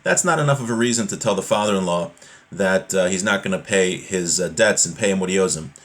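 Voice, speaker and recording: A man's voice: sits low, quick pace, fairly bright tone. Man teaching religion, colloquial and explanatory. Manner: colloquial, explanatory, with rhetorical questions. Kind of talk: reading Hebrew text and explaining it informally.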